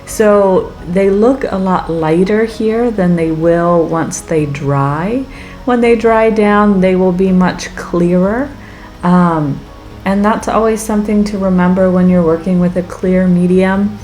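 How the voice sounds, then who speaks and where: A woman narrates a craft tutorial in a casual, enthusiastic, chatty way. Her voice sits a little low and is slightly raspy from being under the weather. She takes her time over the words, with music playing behind her. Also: slow, darkish tone. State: getting over a weekend sickness. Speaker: craft channel host.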